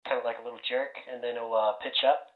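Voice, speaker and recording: A man's voice: mid-range voice, steady tone, fast talker. A man giving an informal step-by-step procedural briefing as a flight leader over online voice chat. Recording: muffled, roomy voice chat.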